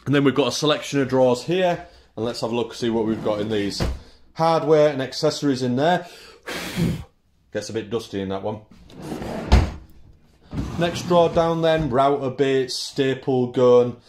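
A British man in his thirties, chatty and relaxed, giving a casual tour of his small indoor woodworking workshop, recorded on the camera mic. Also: mid-range voice, normal speed, long pauses, noisy background. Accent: British English. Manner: relaxed, chatty, lists tools in short phrases. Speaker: woodworking channel host.

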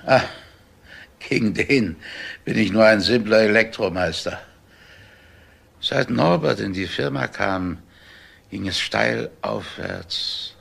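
An actor playing a weary, grieving elderly company owner with a gravelly, husky voice in a crime radio drama. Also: male voice, fairly low pitch, takes it slowly, pauses often, fairly bright tone, full character voice. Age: older.

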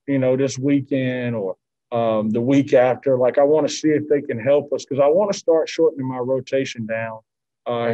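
A basketball head coach in his thirties speaks in a mellow, warm voice, earnest and measured. He leans on fillers as he works through his answers over a video call. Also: medium pitch, muffled recording. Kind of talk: postgame press conference answers. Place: indoor press backdrop room. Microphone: video call, seated at desk with mask.

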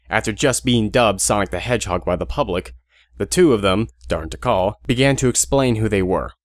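A man's voice: lowish voice, dry recording, studio quality, lightly acted. A man playing a wry, chatty audio drama narrator who speaks casually to the audience.

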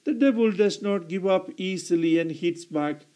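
High-voiced man preaching a slow homily. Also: dark tone. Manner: slow, deliberate delivery with throat clearing and self-corrections.

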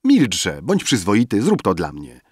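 A man's voice: lowish voice, wildly swooping pitch, unhurried, slightly rough, no echo, clean studio sound, full character voice. A man voicing a tipsy young man who mockingly plays along with his drunken friend. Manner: teasing, mock-servile drunken banter.

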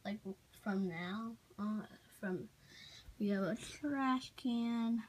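A child giving a casual, halting show-and-tell narration while filming on a handheld phone in a room at home. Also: medium pitch. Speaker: amateur toy video maker. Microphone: handheld phone behind the camera. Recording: noisy handheld home recording with clicks.

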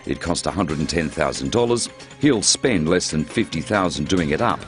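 A man's voice: rumbling low, animated, moderate pace. A man with an Australian accent reading scripted narration as a television news reporter. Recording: loud music under narration.

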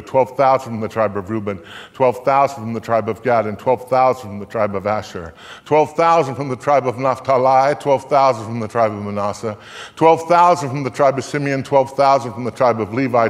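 An older man giving a live theatrical recitation of scripture on stage, solemn and declamatory, with deliberate pauses and swells. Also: mid-range voice, a bit bright. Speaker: dramatic reader. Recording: reverberant hall, long echo.

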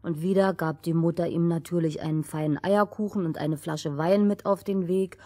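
A woman's voice: on the low side, steady tone, moderate pace, warm tone, lightly acted. A woman narrating a children's fairy tale as a cheeky, interjecting second storyteller.

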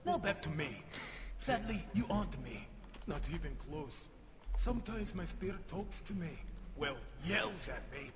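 High, reverberant, boastful fiend warrior. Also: male voice, expressive, measured pace. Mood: smug boasting. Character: vain, boastful male fiend warrior from a video game. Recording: game voice with long reverb, noisy background.

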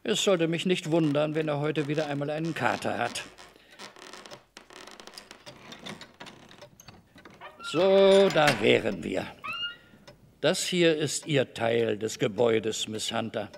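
A man's voice: a little high, animated, a little slow, gravelly, noisy background. A man playing an evasive, overly cordial middle-aged master of a house in a German radio drama, wordy, hesitant and patronizing. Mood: affable, wordy.

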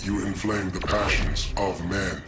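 A man playing a cold, emotionless machine intelligence in a video game, speaking in a formal, menacing deadpan. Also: sits low, a little slow. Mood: cold menace. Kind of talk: video game villain dialogue. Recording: loud music behind.

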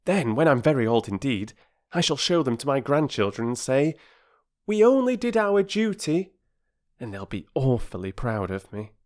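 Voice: medium pitch, big pitch swings, moderate pace, darkish tone, pitched above the performer's own voice, close to an everyday voice. A narrator voicing a blunt, cocky young boy in a children's storybook. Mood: blunt, proud.

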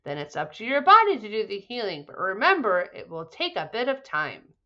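A woman's voice: mid-range voice, wildly swooping pitch, brisk, bright, forceful tone, lo-fi sound. Woman reading as a kindly, reassuring safari doctor. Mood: instructive. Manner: instructive, reassuring. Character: kindly male safari chiropractor explaining health.

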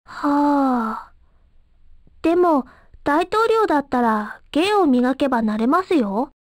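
Very high-pitched, polite young girl. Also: animated, slow, no echo, clean studio sound. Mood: puzzled, innocent. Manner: polite, guileless, girlish. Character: polite, naive, cheerful young girl street performer. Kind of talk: video game voice acting.

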